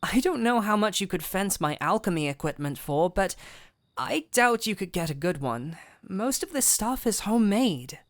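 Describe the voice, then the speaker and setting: A woman playing a gentle but firm fantasy physician, calm and wry, in an intimate audio roleplay with measured, coaxing delivery. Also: mid-range voice, no echo, clean studio sound, lightly acted. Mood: wry, pleading. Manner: measured, coaxing, wryly stern. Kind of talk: intimate scripted audio roleplay addressed to the listener.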